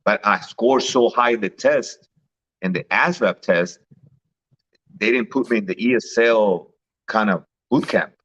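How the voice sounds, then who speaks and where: A man's voice: lowish voice, unhurried, fairly bright tone, dull audio. A man who grew up in Mexico, chatting casually and telling stories, often repeating words and laughing. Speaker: guest. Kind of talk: casual chat.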